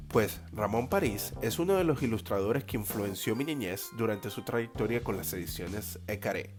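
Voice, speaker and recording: A man hosting an interview podcast, reading a scripted intro and then asking eager, friendly questions that often restart. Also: mid-range voice. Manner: eager, friendly questioning, repeats words when starting questions. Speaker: podcast host.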